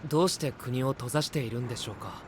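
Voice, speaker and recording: A man voicing a calm, level-headed young male knight in an anime voice drama, speaking politely and leading the group. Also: mid-range voice, quick pace, slight background noise, close to an everyday voice. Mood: steady.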